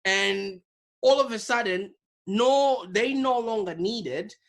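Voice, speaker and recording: Animated man telling a story. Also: sits high, takes it slowly. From Zimbabwe. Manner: animated, vivid storyteller with repetitions. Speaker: guest, digital marketing business owner. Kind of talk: storytelling in an interview. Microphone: video call.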